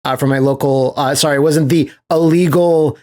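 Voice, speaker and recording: A man's voice: on the high side, steady tone, moderate pace, darkish tone. A man hosting a podcast, chatting casually in an enthusiastic, rambling way. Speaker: podcast host.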